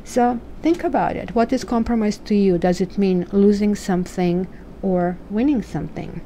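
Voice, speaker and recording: Croatian-accented woman doing tarot, chatty, muses out loud, faint hiss. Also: mid-range voice, moderate pace. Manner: chatty, musing, asks questions aloud. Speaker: tarot reader. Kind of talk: tarot reading.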